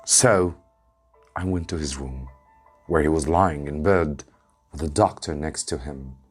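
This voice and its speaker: A man's voice: rumbling low, unhurried, slightly rough, soft background music. A man reads a novel aloud as a teacher, in a dramatic audiobook style. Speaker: English teacher reading a story aloud.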